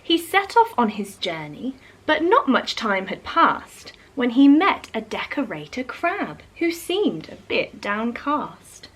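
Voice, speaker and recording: A woman's voice: a little high, takes it slowly, few pauses, slightly rough, low-quality recording. A woman storyteller reading a rhyming picture book aloud expressively for young children. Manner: animated, addresses young listeners.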